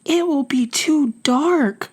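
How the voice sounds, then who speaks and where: A woman's voice: high voice, takes it slowly, darkish tone, voice pushed up. A woman voicing a timid female squirrel in a storybook. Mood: anxious.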